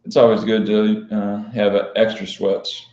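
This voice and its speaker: Casting: male poker vlogger, mellow monotone, unhyped casual Q&A delivery, dull lo-fi roomy recording. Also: fairly low pitch, unhurried. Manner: flat, unhyped, matter-of-fact delivery. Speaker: professional poker player and vlogger hosting a live stream. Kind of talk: casual live-stream Q&A chat. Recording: dull, lo-fi sound in echoey room.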